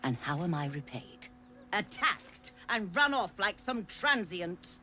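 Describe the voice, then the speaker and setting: A genteel scholarly woman, speaking slowly in a lowish voice that swoops expressively. She is theatrical, effusive and airily condescending, in fantasy game style. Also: big pitch swings, pushed and bright, soft background music, heavily acted. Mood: indignant, evasive. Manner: theatrical, effusive, affectedly polite. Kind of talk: dramatic video game acting.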